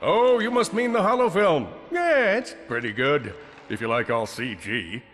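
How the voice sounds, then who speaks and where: A washed-up cartoon space superhero for a game. He is pompous and melodramatic, fairly high-pitched with big swoops, over clearly audible music. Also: animated, a little slow, fairly bright tone. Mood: wounded vanity. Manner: pompous, dramatic. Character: vain, pompous male space superhero, theatrical and self-pitying.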